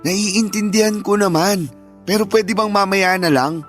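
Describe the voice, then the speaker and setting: A man's voice: sits high, a little slow, fairly bright tone, faint music behind. An actor playing a selfish, whining and defensive young man cheating on his partner in a radio drama. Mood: nagging, irritated. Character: lazy, selfish young cheating husband, whiny and shameless. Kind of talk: Filipino radio drama acting.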